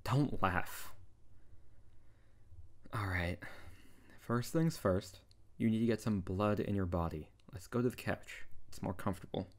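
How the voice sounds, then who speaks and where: Man acting a low-voiced tsundere wizard. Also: measured pace, natural voice. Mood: grudgingly caring. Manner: exasperated, bossy, caring, with sighs. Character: tsundere young male wizard, scolding but tender best friend. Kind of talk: intimate ASMR audio roleplay addressed to the listener.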